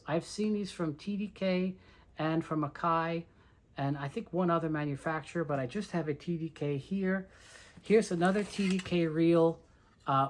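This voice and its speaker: A collector talks through a collection in an informal show-and-tell style. The voice sits at a medium pitch. The delivery is conversational and explanatory, moving from one item to the next. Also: a little hiss or hum. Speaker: collector presenting a tape reel collection.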